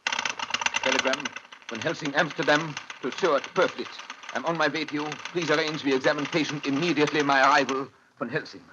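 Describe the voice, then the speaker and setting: A man plays a businesslike older professor dictating in an old radio play. His highish voice is deliberate and telegraphic, behind clear clattering noise on a muffled recording. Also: fairly even intonation, lightly acted. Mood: businesslike urgency. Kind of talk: 1930s radio drama acting.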